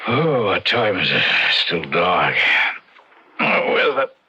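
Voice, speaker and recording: An actor playing an alarmed, sceptical older husband in a radio drama, agitated and pleading. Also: male voice, sits low, sing-song, takes it slowly, sharp tone, husky, full character voice. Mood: groggy, grumbling. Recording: dull old radio recording.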